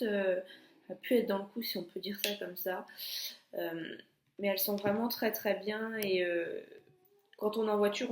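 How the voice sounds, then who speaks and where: A woman's voice: mid-range voice, a little mellow, echoey room, slight background noise. A young woman vlogging casually with a stuffy, nasal voice from a cold, talking in a chatty way with many hesitations and restarts. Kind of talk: casual chat.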